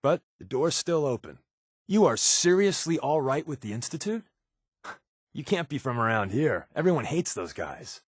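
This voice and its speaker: A man's voice: medium pitch, very animated, normal speed, slightly rough, no room sound, clean studio sound. A man with an American accent playing a sly, wisecracking resistance spy in a video game, in a wry, sarcastic, conversational way. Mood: guarded, disappointed. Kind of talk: video game character dialogue.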